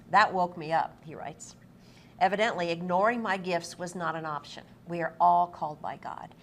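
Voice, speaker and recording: A woman with a fairly low voice acts as interviewer. She is effusive and affirming as she talks and reads passages aloud. The live event recording carries clear ambient noise. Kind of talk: on-stage interview with read-aloud book passages. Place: busy convention event room.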